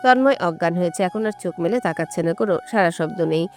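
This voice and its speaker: A woman's voice: fairly low pitch, quick pace. A woman reading a scripted story as a dramatic narrator. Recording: music bed under the voice. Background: background music.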